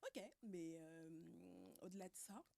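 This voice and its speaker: A woman from Cameroon hosting a podcast, asking questions in a relaxed, friendly way. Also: fairly low pitch, takes it slowly, vocal fry, no echo. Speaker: podcast host. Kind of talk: casual interview.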